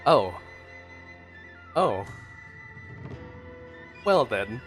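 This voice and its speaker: A man's voice: mid-range voice, big pitch swings, extremely slow, fairly bright tone. A man giving casual, playful commentary as he plays a video game, full of offhand asides. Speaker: streamer reacting to a game. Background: game music.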